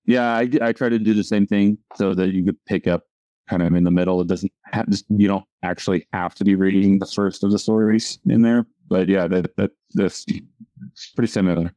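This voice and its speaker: A comic artist man with a lowish voice chatting casually, often stumbling and repeating himself as he searches for words. His tone is warm and relaxed, and the recording is dry. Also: no echo. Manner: halting, stumbling over words. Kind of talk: casual chat over a video call.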